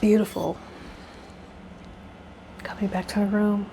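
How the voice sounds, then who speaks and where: A woman with a gentle, soothing delivery reads tarot card meanings, then loosens into a rambling, filler-strewn chat with her viewers. Her voice sits at an ordinary middle pitch. A faint background hum runs underneath. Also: flat delivery, takes it slowly, vocal fry. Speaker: tarot vlogger. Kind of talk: reading tarot card meanings and guided meditation.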